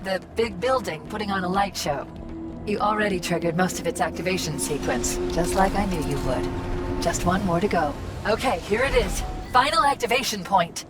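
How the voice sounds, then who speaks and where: An actress playing a warm, confident, persuasive female artificial intelligence in a sci-fi game cutscene. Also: mid-range voice, moderate pace, lightly acted. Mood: assured. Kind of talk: cinematic sci-fi game acting. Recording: voice under loud game music.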